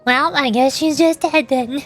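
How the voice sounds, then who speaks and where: A woman's voice: sits high, measured pace, soft background music. A woman streaming a game, giving casual, rambling commentary as she thinks aloud. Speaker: streamer, VTuber.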